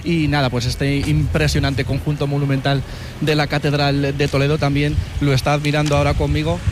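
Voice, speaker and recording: A man's voice: on the high side, completely flat delivery, fairly quick. A man with a Spanish accent doing a chatty live radio interview as a roving reporter outdoors in a city square. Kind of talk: live vox-pop interview. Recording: live outdoor location with background noise.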